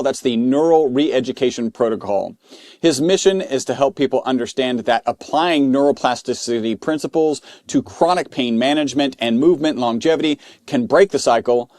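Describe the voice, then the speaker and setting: Guy hosting an interview podcast, medium pitch, really dry clean room sound. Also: darkish tone, dry recording. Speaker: podcast host. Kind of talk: podcast introduction and interview questions.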